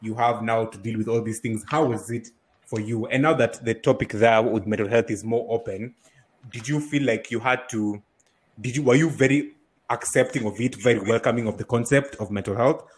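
A man hosts a podcast and asks easygoing questions. His voice sits at a middle pitch. He talks in a relaxed, conversational way. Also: a little fast, husky. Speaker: podcast host. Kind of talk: interviewing on a podcast.

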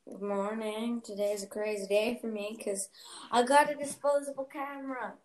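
A woman's voice: fairly high pitch, steady tone, normal speed, darkish tone, rough recording. A young woman hosting a casual chat podcast, talking chattily with lots of fillers and giggles. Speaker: podcast host.

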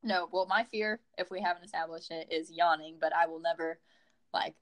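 A woman's voice: on the low side, steady tone, fairly quick. A woman interviewing a band over a remote call, chatty and upbeat, with many fillers.

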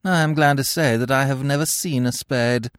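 A man's voice: medium pitch, animated, normal speed, a little mellow, dry recording, studio quality, pitched above the performer's own voice, natural voice. A man voicing a haughty young society lady from the city, affectedly polite and satirical.

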